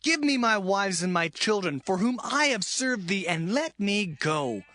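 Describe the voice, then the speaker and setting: A man's voice: high-pitched, big pitch swings, unhurried, pushed and bright, exaggerated voice. A man playing an earnest, resolute biblical herdsman in a dramatised audio Bible, declaiming emphatically.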